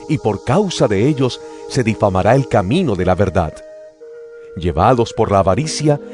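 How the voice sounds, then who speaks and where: A man giving a dramatized Bible reading in a slow, solemn and expressive way. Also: on the low side. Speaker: scripture reader. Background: music.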